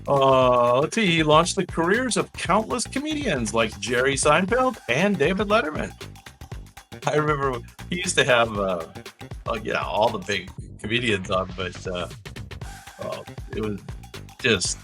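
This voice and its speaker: A man's voice: medium pitch, expressive, measured pace, bright, forceful tone, soft background music. A man hosting a casual live trivia show, reading out facts and adding chatty, rambling asides.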